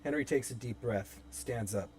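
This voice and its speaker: A man's voice: mid-range voice, moderate pace, a little mellow, low-quality recording. A man reading stage directions as the narrator of a horror script in a live table read.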